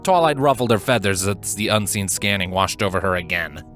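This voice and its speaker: A man narrating scripted fiction as a storyteller, with an expressive, suspenseful delivery. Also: sits low, brisk, faint music behind.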